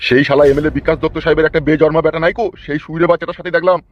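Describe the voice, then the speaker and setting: A man's voice: on the high side, fairly even intonation, very fast, close to an everyday voice. A man acts out a blustering, foul-mouthed cartoon father in dull, lo-fi sound. He scolds at breakneck speed. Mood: furious, scornful. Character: irate, foul-mouthed middle-aged village father and husband. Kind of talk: amateur comedy cartoon dub.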